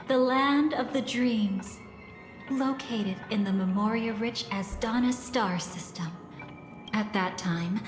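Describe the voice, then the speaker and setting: A woman's voice: mid-range voice, close to an everyday voice. An actress playing a seductive, mysterious woman in a video game, speaking in a soothing, teasing, theatrical way as a guide through memories. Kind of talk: scripted game voice acting, story narration. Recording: echoey reverberant hall, music behind.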